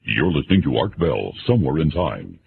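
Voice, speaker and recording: Very deep gravelly radio announcer man. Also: sing-song, fairly quick. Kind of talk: scripted radio promo announcement. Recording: hissy, narrow-band radio broadcast.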